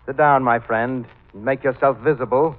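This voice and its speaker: An actor playing a suave, sinister, cultured killer in an old-time radio drama, speaking with mannered, mocking politeness. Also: male voice, medium pitch, steady tone, moderate pace, close to an everyday voice. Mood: mocking affability. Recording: tinny band-limited old radio recording, music behind.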